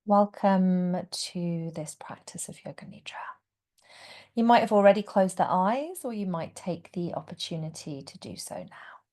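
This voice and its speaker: A woman guiding a relaxation meditation as a yoga nidra teacher, speaking gently and without hurry, with phrases that trail into a hush. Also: on the low side, lively intonation. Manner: gentle, unhurried, hushed phrase endings. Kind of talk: guided meditation.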